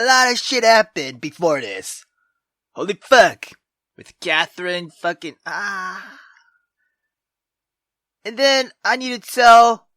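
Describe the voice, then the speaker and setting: Bright, swooping, rambling gaming host. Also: medium pitch, no room sound, studio quality. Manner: hesitant, rambling, sweary. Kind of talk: casual let's play commentary with reading aloud.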